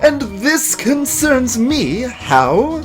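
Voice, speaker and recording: A pompous game villain, pitched high and drawn out very slowly. He is a condescending rich businessman with menace in every phrase, over faint music. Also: big pitch swings, exaggerated voice. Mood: haughty.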